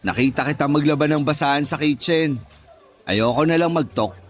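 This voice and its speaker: An actor playing a teasing best friend and kitchen coworker, mocking and jokey, in a Tagalog radio drama. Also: male voice, a little high, moderate pace, close to an everyday voice.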